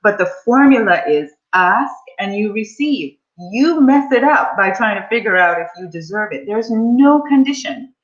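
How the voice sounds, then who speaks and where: A woman's voice: mid-range voice, expressive, normal speed, hardly any pauses, lo-fi sound. A woman in her forties, a money mindset coach, leading a live online coaching session and speaking to her audience in an upbeat, encouraging way. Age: 40s.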